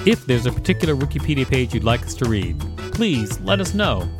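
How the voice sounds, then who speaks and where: A man's voice: medium pitch, big pitch swings, brisk, over music. A man co-hosting a podcast, reading an encyclopedia article aloud. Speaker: podcast co-host.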